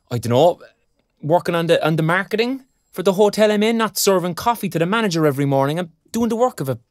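Young Irish graduate, Cork accent, wide-swinging pitch, sardonic, quick-tempered, close dry sound, audio drama. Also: male voice, expressive, no echo. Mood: frustrated. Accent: Irish, County Cork. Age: young adult. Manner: colloquial, sardonic. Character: young Irish graduate, restless, sarcastic, hot-tempered protector. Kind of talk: naturalistic audio drama acting.

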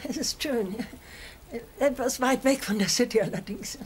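A woman's voice: fairly high pitch, normal speed, natural voice. An actress playing a fussy older mother in a German sitcom dub, prim and patronising. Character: fussy, condescending older mother instructing a younger woman. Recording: clearly noisy background.

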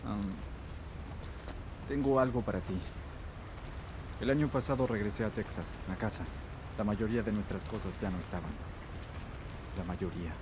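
An actor playing a rugged, easygoing middle-aged man in a dubbed video game, relaxed and friendly. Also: on the low side, moderate pace, long pauses, natural voice. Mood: calm. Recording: game audio with ambient noise.

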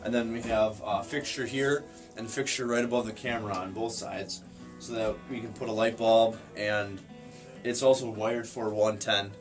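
A man's voice: lowish voice, fairly even intonation, moderate pace. A young American man casually explaining things in a matter-of-fact, tongue-in-cheek way, like a guide on a tour. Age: young adult. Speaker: fisherman guest showing his ice shack. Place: small wooden ice fishing shack. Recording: music playing behind the voice. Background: guitar music.

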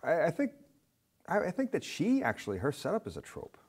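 A man's voice: fairly high pitch, wildly swooping pitch, brisk, darkish tone, husky. A middle-aged man giving rambling, casual film commentary as a studio guest, on a lapel mic. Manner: rambling, full of "you know". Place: indoor studio set with curtains.

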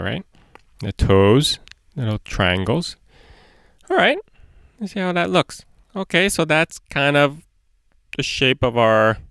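A man's voice: on the low side, creaky, a bit bright, no echo, clean studio sound. A man, perhaps in his thirties, hosting a casual step-by-step drawing lesson for children in a playful way, talking to himself as he draws. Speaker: drawing tutorial host for children.

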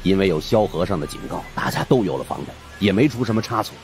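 A man's voice: sits low, animated, fast talker, darkish tone, soft background music. A man narrating a horror story in a suspenseful, theatrical way. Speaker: audiobook narrator.

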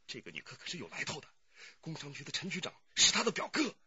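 An actor playing an alarmed, excitable restaurant employee in a Chinese radio drama, urgent and breathless. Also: male voice, on the high side, fast talker, muffled recording, a crowd in the background.

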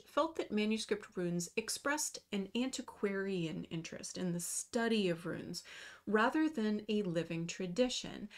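A woman in her thirties giving a scripted lecture over slides, reading carefully from a small room at home. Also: mid-range voice. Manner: measured, careful reading with slow emphasis on terms. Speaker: runology lecturer. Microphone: webcam video in the corner.

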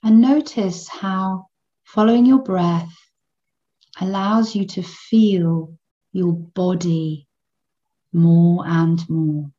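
A woman guiding a children's meditation in a gentle, soothing manner, in measured phrases with long pauses between them. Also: lowish voice, steady tone, lo-fi sound. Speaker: meditation guide.

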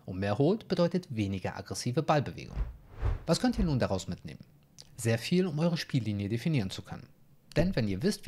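Lively young man explaining step by step. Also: mid-range voice. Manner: methodical, technical explaining. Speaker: bowling coach. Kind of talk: explanatory tutorial. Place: small home room. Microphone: desk mic in front.

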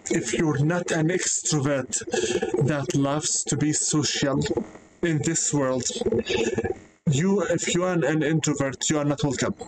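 A man's voice: on the high side, unhurried. A young man, not a native English speaker, delivering an earnest personal vlog monologue with frequent hesitations and self-corrections. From Canada. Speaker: vlogger. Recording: noisy background.

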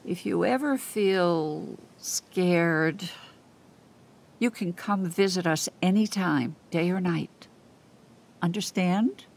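Game casting: a motherly older neighbor, slow and gentle, checking in with soft questions. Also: lowish voice, vocal fry, exaggerated voice. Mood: concerned. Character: kindly, concerned older woman neighbor. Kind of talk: video game voice acting.

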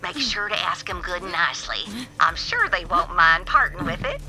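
A woman's voice: fairly low pitch, expressive, moderate pace. A woman voicing a kindly, folksy old farm matriarch who calls people dearie and sweetly scolds the locals. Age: older. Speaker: homestead matriarch giving quests. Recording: loud game music underneath.

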